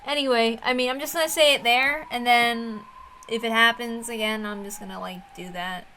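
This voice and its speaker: Female streamer and story reader, bright, highish voice, informal and chatty, faint background noise. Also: fairly even intonation, normal speed. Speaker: streamer reading a visual novel aloud. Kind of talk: casual chat and dramatic read-aloud of a story.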